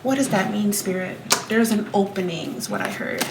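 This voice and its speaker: A woman's voice: medium pitch, a little fast, creaky, background noise. A woman doing a tarot reading straight to her viewers, talking emphatically and repeating herself. She is still getting over a cold. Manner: repetitive, emphatic, speaks to viewers directly. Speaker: tarot reader. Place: home room.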